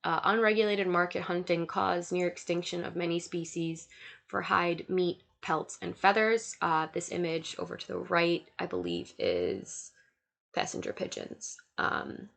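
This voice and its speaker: A woman's voice: lowish voice, a little slow, vocal fry, a bit bright. A young woman with a cold and a nasal, stuffy voice, giving a recorded slide lecture and reading through lists of points with frequent fillers. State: sick, nasal congestion. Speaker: student lecturer.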